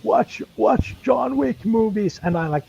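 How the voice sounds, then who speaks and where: A man whose first language is Finnish, a guest in casual stream chat, who speaks haltingly and searches for words. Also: high-pitched, animated, vocal fry, warm tone, lo-fi sound, slight background noise. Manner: halting, full of fillers and restarts while searching for words.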